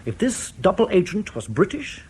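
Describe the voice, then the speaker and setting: An actor in a radio drama playing a wary East German defector and spy who speaks in a measured, ruminative way. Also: male voice, high voice, very animated, darkish tone, husky, heavily acted. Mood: uneasy, recounting.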